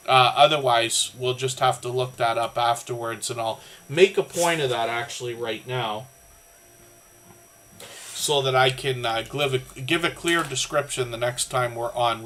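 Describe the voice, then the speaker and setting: A Canadian man hosts a casual livestream in a bright, forward voice with a slight scratchiness. He rambles amiably, speaking directly to the people in his chat. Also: mid-range voice, slight background noise. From southern Ontario, Canada. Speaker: livestream host of a creator community channel.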